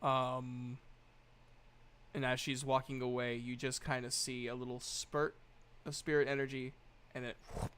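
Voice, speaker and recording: A man's voice: mid-range voice, flat delivery, normal speed, fairly bright tone, clear, resonant tone. A man running a tabletop game as game master, narrating casually with an informal, playful, reassuring manner.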